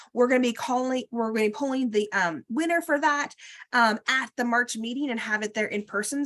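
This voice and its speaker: A woman's voice: mid-range voice, fairly bright tone. A woman with a slight cold hosting a guild meeting over video call, rambling through announcements with many ums and uhs.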